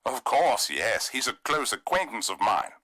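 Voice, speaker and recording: An actor playing a polite but guarded museum collector in a video game, courteous and evasive. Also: male voice, moderate pace. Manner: polite, evasive, lively pitch.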